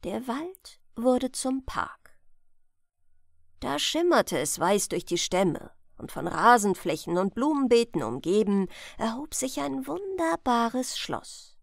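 A woman reading a fairy tale as a bedtime audiobook, in an expressive, measured storytelling manner. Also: on the high side, vocal fry, no echo, studio quality. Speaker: audiobook reader.

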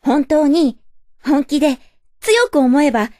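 A woman's voice: high voice, animated, slow pace, darkish tone. A woman playing a sweet, dreamy, determined young ant in a radio drama, girlish and earnest. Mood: hopeful. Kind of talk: cartoonish radio drama acting.

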